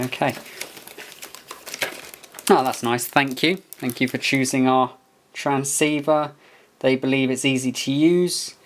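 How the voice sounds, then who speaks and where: A man giving a casual product review, musing aloud as he inspects things piece by piece. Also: mid-range voice. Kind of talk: casual unboxing and review commentary.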